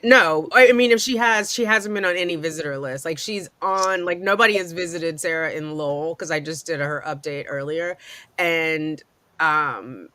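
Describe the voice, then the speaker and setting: A woman's voice: sits low, sharp tone, no echo. A woman hosting a podcast, chatting casually about a court case with plenty of fillers and rambling asides. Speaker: podcast host. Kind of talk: casual true-crime chat.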